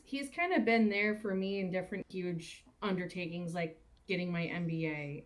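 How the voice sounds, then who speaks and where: A woman in a reflective, casual panel conversation, speaking in halting, thoughtful phrases. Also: a little high. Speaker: cast or crew member on a panel.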